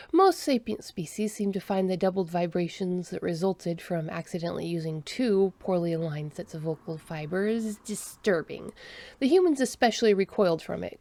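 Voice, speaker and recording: Creaky-voiced woman narrating a story. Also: mid-range voice, warm tone, dry recording. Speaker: audiobook narrator.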